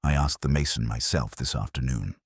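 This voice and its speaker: A man voicing a calm, grave police inspector in a detective audiobook, speaking gently and formally with quiet firmness. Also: a bass voice, a little fast, breathy edge, dry recording, clean studio sound, voice pushed down. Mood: quietly stern. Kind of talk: dramatised detective story dialogue.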